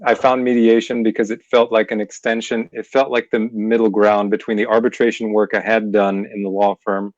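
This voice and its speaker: A middle-aged man with a low voice speaks in a measured, articulate way over a video call. The audio is dull and lo-fi, with a dry sound and no room echo. He pauses on fillers as he recounts things. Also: level tone. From born in Scotland, raised in Pennsylvania. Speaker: mediator and lawyer, interview guest. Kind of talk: casual interview answers.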